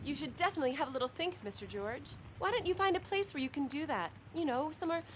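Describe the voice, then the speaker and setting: A woman voicing a brisk, bossy but loyal detective's assistant in a video game, prim and chiding. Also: high-pitched, expressive, a little hiss or hum. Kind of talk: video game character acting.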